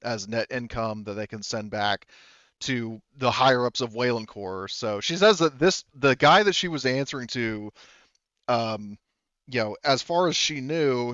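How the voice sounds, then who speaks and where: A man with a smooth, resonant voice narrates a tabletop game as game master. He explains at length, circling back and rephrasing as he goes. The sound is close and dry but a little dull. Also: mid-range voice, a bit bright, no echo. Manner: rambling, explanatory, often rephrasing.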